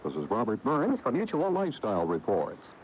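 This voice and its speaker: A man's voice: fairly low pitch, huge pitch swings, a little fast, very noisy. An American man reading scripted news as a radio reporter. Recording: dull 1980 AM radio tape.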